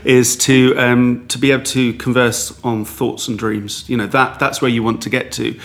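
A man answering in a relaxed interview, talking in long, rambling sentences with frequent restarts. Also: mid-range voice, moderate pace. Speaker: guest. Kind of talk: conversational interview.

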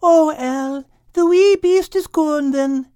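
A man's voice: very high-pitched, takes it slowly, no room sound, studio quality, voice pushed up. A man voicing a sweet, soothing female dog in an audiobook. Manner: gentle, calming.

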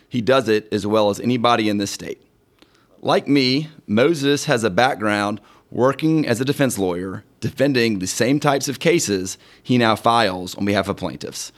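A middle-aged man hosting a podcast in a law firm conference room, upbeat, friendly and sincere. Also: medium pitch. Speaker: podcast host and lawyer. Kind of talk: casual podcast chat.

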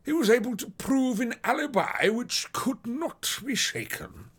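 A man voicing a downcast, deferential police detective in an audiobook, speaking formally in a slow, drawn-out, confidential manner. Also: very high-pitched, big pitch swings, fairly bright tone, husky, voice pushed up, exaggerated voice. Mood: worried, downcast. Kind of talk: dramatised audiobook character voice.

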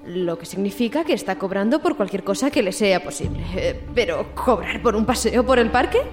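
A woman's voice: medium pitch, animated, fairly quick, over music, natural voice. A woman playing a sensible, questioning city council member in audio drama dialogue. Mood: reasoning, concerned. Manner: conversational, questioning.

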